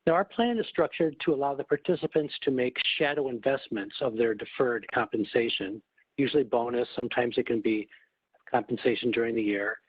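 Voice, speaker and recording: A man giving a prepared, formal webinar presentation in a measured, explanatory manner, as a corporate executive. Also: medium pitch, breathy edge. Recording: muffled phone line, roomy echo.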